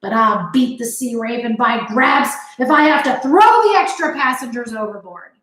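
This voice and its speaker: A woman voicing a blustering, quick-tempered sea captain who roars and growls in a loud voice. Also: high voice, big pitch swings, voice pushed up, heavily acted. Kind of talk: audiobook character voice. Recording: roomy echo.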